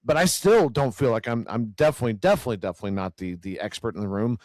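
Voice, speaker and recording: A man with a growly, loud voice talks earnestly about faith, stumbling and repeating words as he gathers his thoughts. His pitch sits in the middle for a man. The recording is dry and close, with no room sound. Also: rapid-fire, dry recording. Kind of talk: casual faith conversation.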